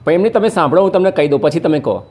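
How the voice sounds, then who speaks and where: A man's voice: fairly high pitch, expressive, very fast, darkish tone. A man with a Gujarati accent talking casually and colloquially, explaining his point insistently in an argumentative conversation. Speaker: activist and social media video maker.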